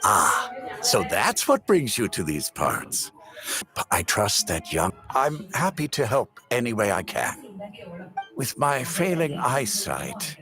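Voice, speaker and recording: An actor playing a kindly, regretful old village chief in a video game, gentle and measured in manner, with music behind. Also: male voice, medium pitch, huge pitch swings. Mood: wistful, kindly. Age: older. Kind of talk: video game character dialogue.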